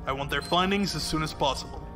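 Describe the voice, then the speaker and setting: A man playing a composed, shrewd space commodore in an audio drama, measured and probing with calm authority. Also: fairly high pitch, music in the background, lightly acted. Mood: decisive.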